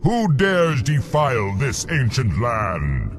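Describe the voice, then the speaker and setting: An actor voicing a wrathful ancient forest demigod in a game cinematic, proud and mighty, declaiming in archaic fashion. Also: male voice, mid-range voice, huge pitch swings, slow pace, background noise, heavily acted. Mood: wrathful challenge. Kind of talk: video game cinematic acting. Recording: very loud music over voice.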